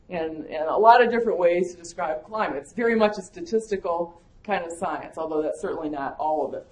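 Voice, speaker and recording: Woman, Wisconsin accent, casual explanatory lecture, mid pitch, echoey room. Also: darkish tone. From Michigan, USA. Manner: conversational, explanatory, frequent fillers. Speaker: agricultural climatologist, lecturer. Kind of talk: conference lecture with slides. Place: conference room.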